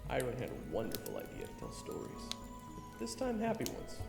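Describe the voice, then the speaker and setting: Guy voicing a young adventurer telling his story, wry n candid, animated, echoey, bit of music. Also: medium pitch, brisk, creaky, exaggerated voice. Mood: reflective storytelling. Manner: candid, conversational. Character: wry young male adventurer narrating his own tale. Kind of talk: first-person story narration. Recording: reverberant, music behind.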